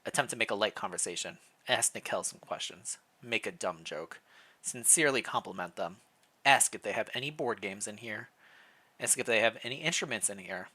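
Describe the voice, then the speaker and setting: A man hosting a livestream, switching between casual, playful chat and reading fan fiction narration aloud, often thinking out loud. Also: lowish voice, expressive, brisk, husky.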